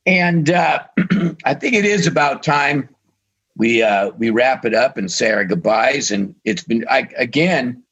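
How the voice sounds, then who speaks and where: A man's voice: medium pitch, expressive, a little slow, fairly bright tone, slightly rough. A man co-hosting a radio talk show, losing his voice and talking hesitantly with fillers. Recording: dull lo-fi sound.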